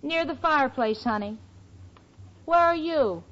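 A woman's voice: high-pitched, expressive, unhurried, fairly bright tone. An actress playing a wry, long-suffering young woman in a 1940s radio sitcom. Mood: impatient, coaxing. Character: exasperated, wisecracking young female roommate. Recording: muffled old radio, studio audience, hiss.

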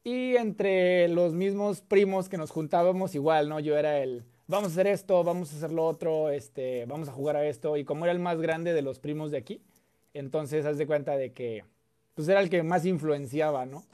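A man from Mexico with a Mexican accent, a guest telling relaxed, chatty stories with many fillers and repeated words. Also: fairly high pitch, normal speed, clean tone. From Mexico (Coahuila). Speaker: guest, content creator. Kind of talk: casual storytelling chat.

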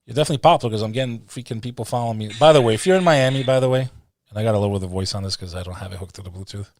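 Fast-talking gravelly man hosting. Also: on the low side, a little hiss or hum. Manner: rambling, name-dropping, gives shout-outs. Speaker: podcast host. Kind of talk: casual interview chat.

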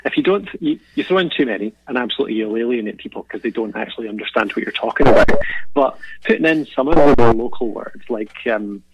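A man's voice: medium pitch, lively intonation, quick pace, a little hiss or hum, low-quality recording. A Scottish man chatting reflectively as an interview guest, laughing often and restarting his sentences. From Scotland. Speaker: podcasting guest. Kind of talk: casual interview chat.

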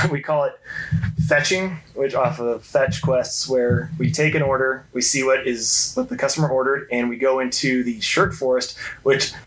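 A man's voice: mid-range voice, fairly even intonation, moderate pace, a bit bright, rough recording. A man chatting casually as a podcast guest, slipping in wry, dry asides. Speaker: mailroom worker, podcast guest. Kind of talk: casual chat. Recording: light background noise.

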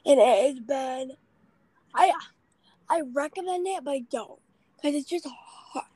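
A young woman in her late teens hosting a podcast, with a raspy voice, chatting in a rambling, self-deprecating way full of fillers. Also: sits high, measured pace, mellow, dry recording. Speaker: podcast host. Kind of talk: casual chat.

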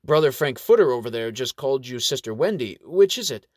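A man's voice: mid-range voice, expressive, a little fast, darkish tone, no echo, studio quality, close to an everyday voice. A man voicing a suspicious male dwarf bank clerk with a blunt, probing manner in a fantasy audio story.

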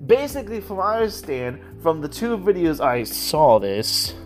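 Animated, excitable rambler over music. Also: medium pitch, moderate pace. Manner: excitable, giggly, repeats and stammers words. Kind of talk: casual rambling monologue about audio dramas.

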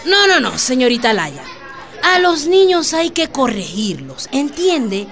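An actress playing a strict, old-fashioned schoolmistress in a radio drama, lecturing with drawn-out emphasis. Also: female voice, high-pitched, sing-song, music in the background, crowd noise, exaggerated voice. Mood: stern, admonishing. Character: stern, old-fashioned schoolmistress who believes in harsh punishment.

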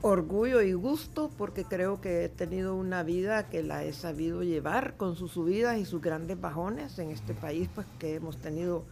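A woman's voice: lowish voice, moderate pace, vocal fry, hardly any pauses, a little mellow, soft background music. A woman in her seventies from El Salvador with a Salvadoran accent, a guest chatting reflectively in a studio interview. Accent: Salvadoran Spanish. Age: 70s. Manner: reflective, chatty, laughs easily. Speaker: guest artist. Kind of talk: casual interview chat.

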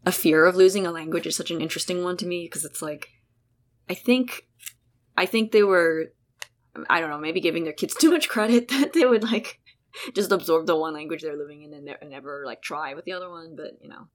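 A woman's voice: fairly low pitch, fairly quick. A young woman from South Africa, guest host of a casual chat, talking in a rambling way with many fillers and restarts. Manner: chatty, rambling, full of "like" and restarts. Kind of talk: casual conversation between sisters.

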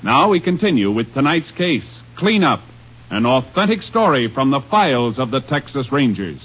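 A man's voice: mid-range voice, sing-song, normal speed, fairly bright tone, husky. An American man making a formal, emphatic scripted announcement as a 1950s radio show announcer. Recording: dull old radio recording.

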